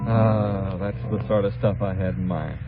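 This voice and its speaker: A man's voice: sits low, steady tone, normal speed, loud music behind the voice. A man hosting an old-time radio comedy show, bantering from a script in a wry, mock-formal manner. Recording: scratchy old radio recording, tinny, narrowband.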